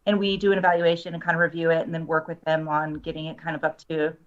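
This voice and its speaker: A woman's voice: deep voice, little pitch movement, fast. A woman guest explaining her work in casual talk over dull, lo-fi call audio. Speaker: guest, screenwriter and story analyst. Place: online video call.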